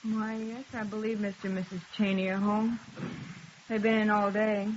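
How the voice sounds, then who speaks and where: A helpful, polite apartment house manager played by an actress talks in a conversational, slightly hesitant way at a middling pitch. The old radio drama recording is dull, with faint noise. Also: female voice, little pitch movement, moderate pace, natural voice. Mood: obliging. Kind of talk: 1950s radio drama acting.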